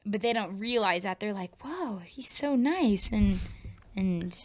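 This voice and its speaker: A woman's voice: medium pitch, animated, a little slow, darkish tone. A woman co-hosting a podcast in a casual brainstorming chat, slipping into imagined dialogue as she explains ideas. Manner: conversational, acts out imagined dialogue. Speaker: podcast co-host.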